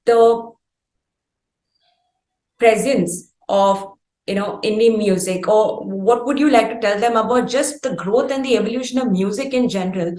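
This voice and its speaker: A woman's voice: mid-range voice, unhurried, dark tone. Echoey Indian woman asking long questions. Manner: wordy, carefully phrased, long winding questions. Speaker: interviewer. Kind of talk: interview questions. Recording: roomy echo on the voice.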